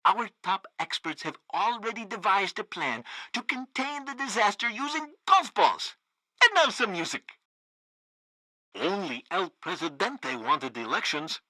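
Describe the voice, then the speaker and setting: An actor playing a zealous, loyal regime radio host in a comedic video game, with a pompous, theatrical delivery. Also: male voice, sits high, very animated, a little slow, pushed and bright, no echo, full character voice. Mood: cheerful, assured. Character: zealous loyal propaganda radio host, male, banana-republic sycophant.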